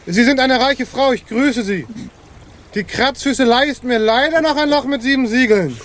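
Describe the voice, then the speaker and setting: Radio play casting: stilted menacing pirate, extremely high voice, declamatory delivery. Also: male voice, normal speed, a bit bright, slight background noise. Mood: formal menace. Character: stilted, threatening pirate with blunt formality. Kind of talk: German radio drama acting.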